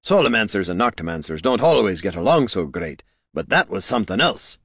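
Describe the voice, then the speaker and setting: A man's voice: on the low side, sing-song, fairly quick, a bit bright, breathy edge, no room sound, studio quality, exaggerated voice. Man voicing blunt, exasperated veteran adventurer. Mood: bemused. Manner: blunt, forthright.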